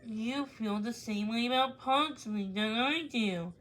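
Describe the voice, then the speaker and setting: Woman reading as a kindly, worried aunt, slow. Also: a little high. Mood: resigned. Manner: gentle, sighing, sincere. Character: kindly, worried aunt who loves dogs.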